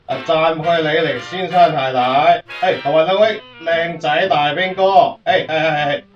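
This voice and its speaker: A man acts out a chatty, fussing portrait photographer in a radio drama. His highish voice is soothing and obliging, heard in a roomy, slightly distant space with faint music behind. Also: fairly even intonation, normal speed, lightly acted. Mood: fussing, directing. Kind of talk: Cantonese radio drama acting. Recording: roomy, dull sound, off-mic, faint music.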